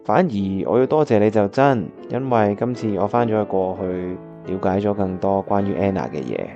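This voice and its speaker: An actor as a dark-toned, lovelorn young man. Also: lowish voice, normal speed, dull audio, soft background music, lightly acted. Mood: friendly. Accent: Hong Kong Cantonese. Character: earnest, lovelorn young pianist man, easily startled. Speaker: voice actor, lead and narrator. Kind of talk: radio drama acting and first-person narration.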